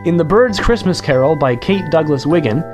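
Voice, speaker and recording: A man's voice: on the high side, animated, moderate pace, over music. A man reading scripted credits as the announcer of an audio drama.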